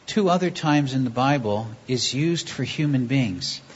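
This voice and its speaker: A woman preaching a sermon in short phrases, pausing for an interpreter and acting out little dialogues. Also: rumbling low, husky. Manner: acts out short dialogues, lively. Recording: dull lo-fi recording.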